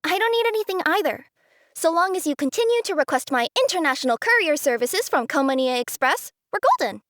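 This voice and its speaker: An actress playing a chirpy, easily flustered young courier in a cartoonish video game style. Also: female voice, high voice, big pitch swings, brisk, a bit bright, dry recording, studio quality. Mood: cheerful. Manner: chirpy, earnest.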